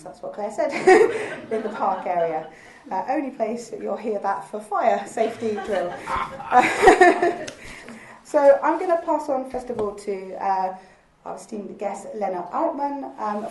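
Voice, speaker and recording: A woman with a croaky voice from recent illness, hosting a launch event with a chatty, informal welcome full of ums and laughs. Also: mid-range voice, darkish tone, slight background noise. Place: large museum hall. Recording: echoey hall, speaker far from mic.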